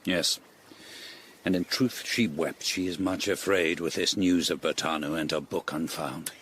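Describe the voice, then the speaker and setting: A low, deep voice belongs to an older English Tudor lord. He is commanding and shrewd, speaking with aristocratic deliberation in radio-drama style over faint ambience. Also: fairly even intonation, a little slow, fairly bright tone, slight background noise. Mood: grave, confiding.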